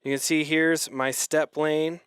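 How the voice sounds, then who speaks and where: Casual male tutorial host, smooth clear voice, dry close sound, easygoing step-by-step explainer. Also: fairly high pitch, unhurried, no room sound. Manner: relaxed, explains step by step with filler ums. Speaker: synth module demonstrator.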